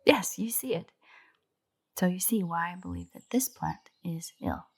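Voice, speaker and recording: A nerdy royal botanist, acted by a woman in a fantasy audio drama, with a breathy, slightly creaky voice. She is earnest and formal, explaining things with careful precision as if lecturing a curious visitor. Birdsong plays behind her. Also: lowish voice, a little slow. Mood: precise, lecturing. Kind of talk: roleplay audio drama, scripted monologue to the listener. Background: birdsong, garden ambience.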